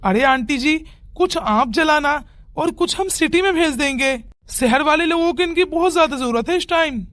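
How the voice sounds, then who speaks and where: A woman's voice: high-pitched, lively intonation, moderate pace, a bit bright, dry recording. A woman voicing a polite, puzzled young village man in a cartoon, speaking earnestly, with music behind. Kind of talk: Hindi cartoon moral story dialogue.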